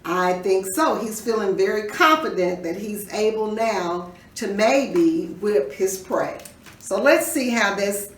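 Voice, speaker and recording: A woman reading a children's story aloud in an expressive, teacherly way, pausing to put questions to her listeners. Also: mid-range voice. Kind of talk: dramatic read-aloud with questions to young listeners. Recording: roomy echo, distant mic, faint noise.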